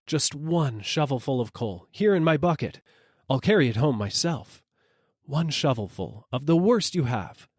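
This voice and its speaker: A man acts out a poor, freezing customer from a storybook, crying out humbly and desperately. The pleading voice rides high and swings widely in pitch, in a dry, close sound. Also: very animated, measured pace, no room sound. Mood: humble begging.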